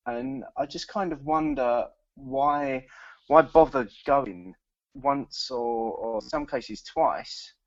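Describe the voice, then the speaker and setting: A man's voice: mid-range voice, slow. A British man co-hosting a podcast, speaking in a rambling, restart-heavy way. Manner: rambling, many restarts, mildly critical. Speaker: podcast co-host. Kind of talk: casual chat. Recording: dull lo-fi sound.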